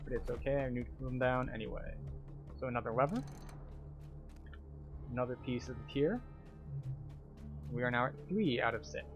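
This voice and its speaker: A man's voice: mid-range voice, moderate pace, long pauses, highs cut off, over music. A man giving casual, explanatory commentary as a tour guide through a video game.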